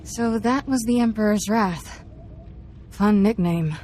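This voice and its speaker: Game companion, female actor: wry sidekick, dark soft timbre, dry sarcasm, light background noise. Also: mid-range voice, takes it slowly, close to an everyday voice. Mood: sardonic. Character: wry, sardonic female companion in a space adventure. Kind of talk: video game cutscene acting.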